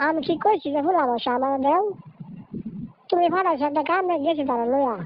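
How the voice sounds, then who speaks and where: A woman's voice: high-pitched, fairly quick, close to an everyday voice. A woman voicing a hot-tempered, complaining young bird brother in a cartoon, with a rural Bangladeshi Bengali accent, on a dull, noisy recording. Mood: aggrieved. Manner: complaining, argumentative. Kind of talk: cartoon voice acting. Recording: dull lo-fi audio with noise.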